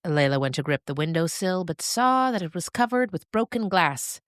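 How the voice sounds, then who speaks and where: A woman narrating scripted fiction as a storyteller, with expressive, deliberate phrasing and dramatic pauses. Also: lowish voice, no room sound, studio quality.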